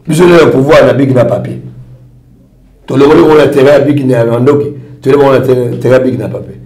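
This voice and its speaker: A man's voice: mid-range voice, moderate pace, darkish tone, echoey room, low-quality recording. A man from Congo, a party leader, answering interview questions in a rambling, repetitive way. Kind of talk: interview answers mixing French and Lingala.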